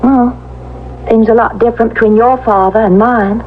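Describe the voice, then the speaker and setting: A woman's voice: a little high, steady tone, normal speed, natural voice. An American woman acting a spirited, outspoken young rancher's daughter in a radio western, defiant in manner. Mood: defiant, challenging. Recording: muffled, noisy 1970s radio broadcast.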